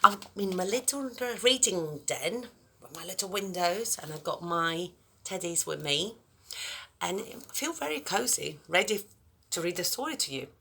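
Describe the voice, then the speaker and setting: Woman reading a children's story, creaky low voice. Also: normal speed. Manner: expressive, addressing young listeners directly. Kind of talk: read-aloud children's story with chatty asides.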